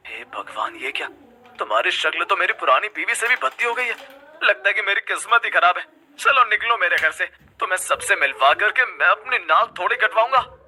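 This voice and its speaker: A man playing a harsh, shallow young husband, husky and scolding, easily outraged, in a cartoon story. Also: high-pitched, expressive, brisk, sharp tone, a little hiss or hum, full character voice. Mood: disgusted. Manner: indignant, scolding.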